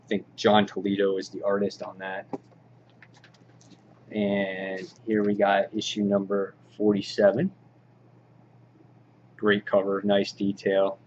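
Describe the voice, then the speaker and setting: Guy with a lowish voice doing a casual understated vlog, kinda halting, muffled audio w some noise behind. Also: monotone, darkish tone. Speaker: vlog host showing a comic book collection. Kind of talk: casual show-and-tell commentary. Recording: dull lo-fi audio, clear background noise.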